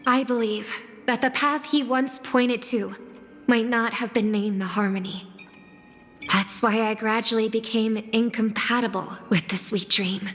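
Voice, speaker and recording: A graceful young singer from a video game, acted by a woman, speaks with slow, careful formality. She is composed, earnest and kind, her fairly high voice carrying in a long reverb. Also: natural voice. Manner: measured, formal, deliberate. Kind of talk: video game character dialogue. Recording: long reverb, music behind.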